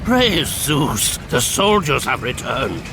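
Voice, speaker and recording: A man's voice: medium pitch, big pitch swings, slow pace, over music. An actor playing an anxious, devout old townsman in a video game cutscene. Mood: relieved. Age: older.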